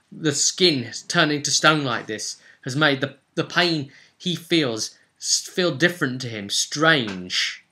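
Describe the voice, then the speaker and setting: A man's voice: fairly high pitch, sharp tone. A man narrating a tabletop fantasy role-play session as game master, telling it dramatically and off the cuff in vivid, emphatic short phrases.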